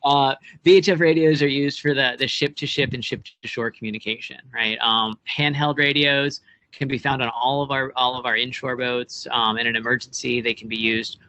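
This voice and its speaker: A man's voice: medium pitch, steady tone, moderate pace, bright, forceful tone, no echo, rough recording. A young American man giving casual, informal explanations as a guest expert, often checking in with tag questions. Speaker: boat club operations director, guest expert. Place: outdoors on a marina dock.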